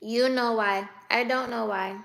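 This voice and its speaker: A woman's voice: fairly high pitch, fairly even intonation, unhurried, creaky, fairly bright tone. A woman in her twenties chatting on a livestream, teasing and laughing as she answers viewer comments aloud. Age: 20s. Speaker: livestreamer reading chat. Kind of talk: casual live chat.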